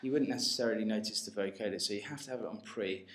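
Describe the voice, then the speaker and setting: A man giving an informal, hesitant step-by-step software tutorial as a music technology tutor. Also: on the low side, quick pace. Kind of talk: screencast tutorial narration. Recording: roomy, faint noise with mouse clicks.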